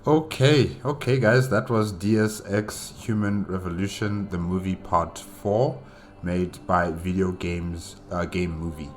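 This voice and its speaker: A man hosting a solo reaction video, talking casually and haltingly, repeating himself for emphasis. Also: sits low, soft background music. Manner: halting, repetitive, emphatic about doubts. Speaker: reaction channel host.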